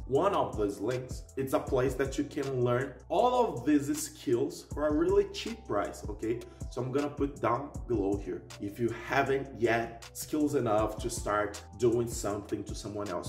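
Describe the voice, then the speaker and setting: Echoey young man with a Portuguese accent. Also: mid-range voice. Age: young adult. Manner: emphatic, often tags sentences with "okay?". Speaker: YouTube host. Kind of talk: casual explainer vlog. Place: living room at home, indoors. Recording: roomy echo, distant mic, faint music.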